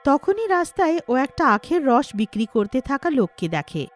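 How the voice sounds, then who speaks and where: A woman's voice: sits high, sing-song, moderate pace, faint music behind. A woman working as a story narrator, reading a scripted moral tale.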